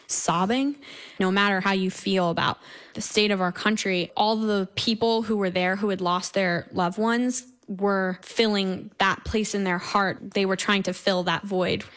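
A woman's voice: medium pitch, a bit bright. Teenage young woman, earnest interview guest. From Brooklyn, New York. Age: teenager, seventeen. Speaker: young classical soprano, guest. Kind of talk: conversational interview.

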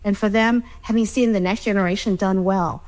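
A woman's voice: mid-range voice, fairly even intonation, fairly quick, darkish tone, low-quality recording. A woman who grew up in Vietnam speaks English with a Vietnamese accent, her first language being Vietnamese. She talks thoughtfully as a panel guest, circling back and restarting her phrases as she goes. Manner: reflective, with frequent restarts and "you know". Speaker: storyteller guest. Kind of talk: panel discussion.

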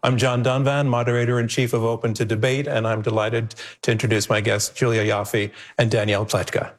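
A man's voice: mid-range voice, flat delivery, fairly quick, slight background noise. Genial male debate moderator. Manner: genial, with small restarts and stumbles. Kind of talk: hosting a panel discussion.

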